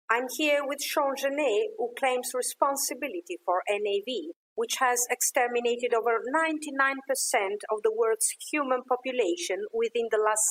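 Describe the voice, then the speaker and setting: A woman's voice: a little high, low-quality recording. A woman playing an earnest field news reporter in an audio drama, formal and composed. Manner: formal, measured broadcast delivery.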